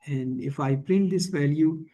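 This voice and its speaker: A man teaching in a screencast tutorial, thinking aloud haltingly and often checking in with a questioning tag. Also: a little high, mellow, rough recording. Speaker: instructor. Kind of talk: screencast programming and statistics tutorial.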